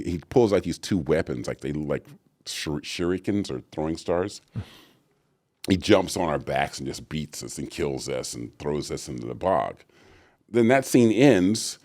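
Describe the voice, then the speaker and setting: A middle-aged man, an actor and voice actor, telling stories in an interview with a deep, resonant voice and acted-out quotes. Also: darkish tone, no echo, studio quality. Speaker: actor and voice actor guest. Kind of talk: storytelling interview answers.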